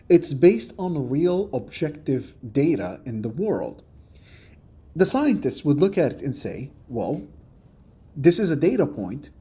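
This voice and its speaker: A man giving a measured, explanatory commentary for a response video, recorded on a phone. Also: on the high side, dark tone, breathy edge.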